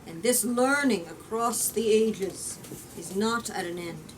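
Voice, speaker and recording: A woman's voice: medium pitch, close to an everyday voice. Woman reading as an earnest, solemn male statesman, off-mic. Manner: solemn, deliberate oratory. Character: earnest, measured male statesman pleading for openness. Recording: distant mic with background noise.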